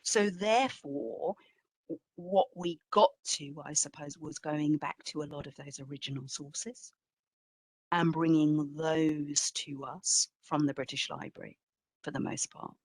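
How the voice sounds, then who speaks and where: Woman, lower voice, close dry sound. Exhibition curator answering questions informally, animated and self-deprecating with emphatic repetition. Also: measured pace, no room sound, low-quality recording. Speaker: exhibition curator and guest speaker.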